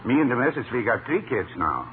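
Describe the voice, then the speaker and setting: A man's voice: medium pitch, sing-song, fast, natural voice. An actor playing a genial Scandinavian working man in a 1940s radio comedy, griping in folksy, droll broken English. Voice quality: broken English in a comic dialect. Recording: very noisy, narrow-band old radio recording.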